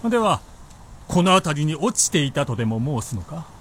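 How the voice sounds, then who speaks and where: An actor playing an imperious, amused feudal Japanese warlord in a period radio drama, with commanding, archaic, lordly speech. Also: male voice, a little high, big pitch swings, normal speed, a bit bright, a little hiss or hum.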